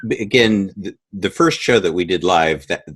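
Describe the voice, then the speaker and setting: A man's voice: deep voice, normal speed, fairly bright tone, breathy edge. A man talking casually as a guest in an interview, telling stories about his creative work.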